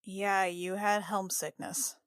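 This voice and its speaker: A woman's voice: mid-range voice, little pitch movement, dry recording, natural voice. Woman playing an anxious, caring spacefarer, close and dry. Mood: concerned, gentle. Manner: halting, repeats words.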